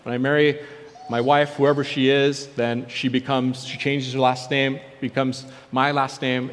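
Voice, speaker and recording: Earnest man preaching in a reverberant hall. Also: mid-range voice. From born in South Korea. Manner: earnest storytelling, acts out remembered dialogue. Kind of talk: personal testimony in a sermon.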